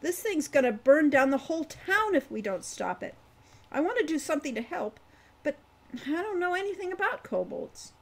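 A woman's voice: sits high, very animated, moderate pace, voice pushed up. A woman voicing an earnest, polite young man who wants to be a hero, in a storybook reading. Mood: eager, earnest. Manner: earnest, questioning.

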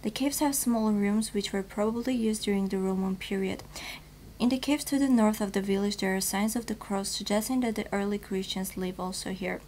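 A young woman in her twenties reading scripted news in a studio, with steady formal delivery and slightly non-native phrasing. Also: mid-range voice, a little hiss or hum. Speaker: news anchor. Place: TV news studio, virtual set.

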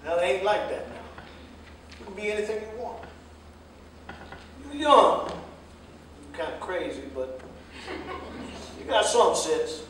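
A man's voice: high voice, very animated, slow pace, long pauses, a bit bright, heavily acted. A man acting an older, fatherly American jitney driver in a staged play reading, with a folksy, emphatic, lecturing way of talking. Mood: earnest, advising. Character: older railroad pensioner jitney driver, fatherly, plain-spoken adviser. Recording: distant mic, echoey hall, faint noise.

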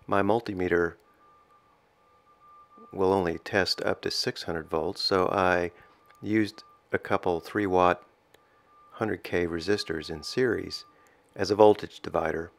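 A man giving a measured, halting voice-over narration as a hobbyist explaining his own project. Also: low-pitched, steady tone, vocal fry. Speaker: amateur radio hobbyist narrating own restoration.

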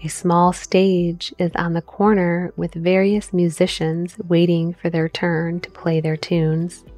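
Woman, meditation guide, unhurried pace, flat gentle intonation, measured pauses, scripted relaxation narration. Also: on the low side. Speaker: meditation guide, mindset coach. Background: background music.

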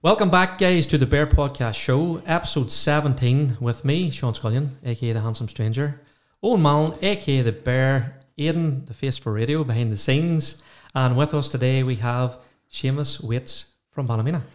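A man's voice: medium pitch, normal speed, few pauses. A man hosting a podcast, opening the show in an upbeat announcing way. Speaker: podcast host. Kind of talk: show introduction and chat. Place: podcast studio. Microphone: studio desk mic.

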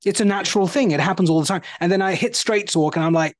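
A man's voice: sits high, quick pace. A man in his forties with a British accent, hosting an interview show and talking animatedly from home over a video call. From United Kingdom. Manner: animated, gestures with his hands. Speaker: host. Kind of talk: interview conversation. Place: bright home room.

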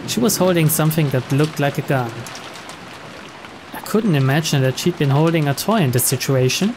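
A man's voice: on the high side, expressive, a little slow. A man reading scripted story narration aloud. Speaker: reader of on-screen story text. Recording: clear rain noise behind.

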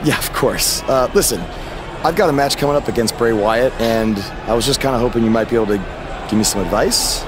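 A man's voice: mid-range voice, fairly quick, background noise, natural voice. A man playing a polite young wrestler in a video game, talking in a hesitant, casual way. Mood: awkward, sincere. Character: easygoing, polite young male wrestler hero.

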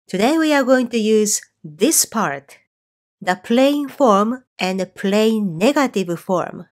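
A woman teaching a Japanese course online, explaining clearly and slowing down on example phrases. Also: fairly high pitch. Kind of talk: scripted online lesson.

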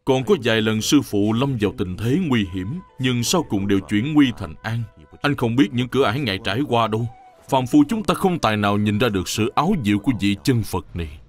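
A man playing an earnest, respectful monk disciple in an animated Buddhist drama. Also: mid-range voice, a little fast, soft background music, close to an everyday voice.

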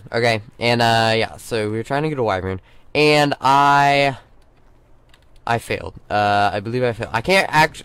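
A man's voice: mid-range voice, clean tone, dry recording. A young man with a sore throat and a stuffy nose, rambling through casual let's play commentary with many fillers and restarts. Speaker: gaming channel host.

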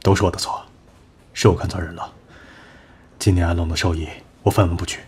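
An actor playing a blunt, proud film producer in a radio drama, curt and sardonic. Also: male voice, low-pitched, flat delivery, a little fast, a little mellow, slight background noise, lightly acted. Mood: bitter, remorseful. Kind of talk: Chinese radio drama acting.

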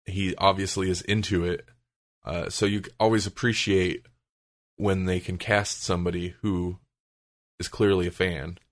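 A man's voice: deep voice, flat delivery, a little slow. A man podcast co-host chatting casually about movies and comics.

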